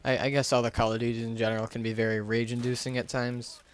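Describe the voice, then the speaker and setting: Casual, even-toned man rambling on a headset. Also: mid-range voice, quick pace, a little hiss or hum. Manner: hesitant, rambling, self-deprecating. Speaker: gaming YouTuber. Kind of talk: casual unscripted gameplay commentary. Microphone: gaming headset.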